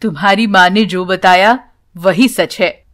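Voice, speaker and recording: A woman voicing a solemn, kindly old village grandfather in a children's story, speaking in a measured way. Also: medium pitch, fairly bright tone, voice pushed down, close to an everyday voice.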